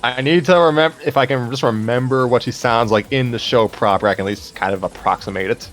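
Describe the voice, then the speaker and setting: A man's voice: mid-range voice, quick pace, a bit bright, soft background music. A man chatting casually as one of the voice readers in a live story read-along. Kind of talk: casual chat.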